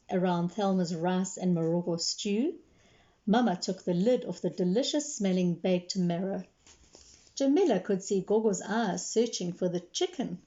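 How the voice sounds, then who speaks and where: A woman reading a children's story aloud in an expressive, animated way, acting out the dialogue. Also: mid-range voice. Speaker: storybook reader, librarian. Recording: dull, lo-fi sound.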